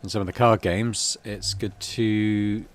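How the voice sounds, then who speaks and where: A man's voice: on the low side, steady tone, unhurried, a little hiss or hum. A middle-aged man hosting a game playthrough, commenting casually and reading the story text aloud. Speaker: gaming video host.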